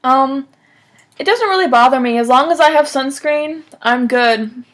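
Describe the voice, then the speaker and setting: A young woman in her twenties with a slightly high voice gives conversational answers in a phone job interview. She speaks casually, peppering sentences with "like" as she explains herself. She is picked up by a webcam at desk distance in a small room. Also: a little slow, a bit bright. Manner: casual, frequent "like" as filler. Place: small room at home, indoors.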